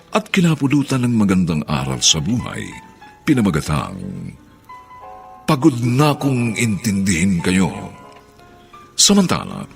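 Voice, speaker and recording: A Filipino man announcing for a radio drama in a formal, ceremonious, drawn-out scripted style. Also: fairly low pitch, gravelly. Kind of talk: formal scripted closing narration.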